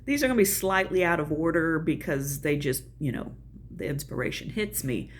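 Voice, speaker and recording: A woman's voice: low voice, animated, normal speed, slight background noise. An older woman with a Southern US accent, chatting casually and folksily about her own life on her video channel. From American South. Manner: chatty, folksy, self-deprecating asides. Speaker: channel host. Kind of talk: casual personal vlog.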